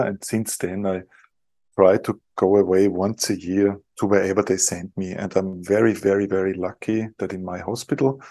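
A middle-aged man from Europe speaks English with a non-native accent. His voice is low, gravelly and warm, and he sounds dry and close to the mic. He talks casually, with wry self-deprecating asides. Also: dry recording. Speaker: guest surgeon.

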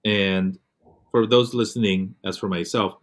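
A man's voice: fairly low pitch, steady tone, unhurried. A man hosting a casual campaign interview, upbeat and eager as he introduces his guest and asks questions. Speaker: interview host.

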